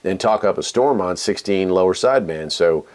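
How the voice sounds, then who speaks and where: A man's voice: deep voice, animated, normal speed, darkish tone. A man hosting a hobby video in a casual, folksy way, musing aloud as he demonstrates equipment. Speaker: radio hobby video host. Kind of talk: casual hands-on demonstration chat.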